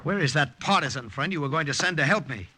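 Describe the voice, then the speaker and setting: A man's voice: on the high side, lively intonation, fast, bright, forceful tone, slight background noise. A man playing an earnest, brusque wartime saboteur in a 1950s radio drama, both acting and narrating. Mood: exasperated, firm. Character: tense, brusque male secret agent saboteur. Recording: old radio recording, music behind.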